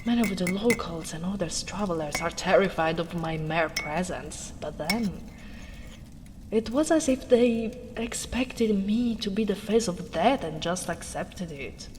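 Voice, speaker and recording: A big, strong but tender ogress, acted by a woman, speaks slowly in a soft, lowish voice. She is caring and lightly teasing, in an intimate ASMR roleplay. There is clear ambient noise behind her. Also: lightly acted. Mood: caring, musing. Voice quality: soft-spoken. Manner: soothing, unhurried, gently teasing. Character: gentle big strong female ogre, caring, teasing rescuer. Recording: noisy storm ambience behind voice.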